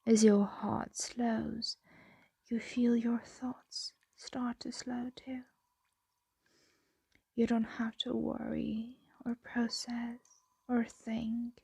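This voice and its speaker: A woman voicing a possessive, doting female dragon in an ASMR roleplay, soothing and teasing in a breathy, intimate whisper. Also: on the high side, level tone, extremely slow, warm tone, dry recording, full character voice.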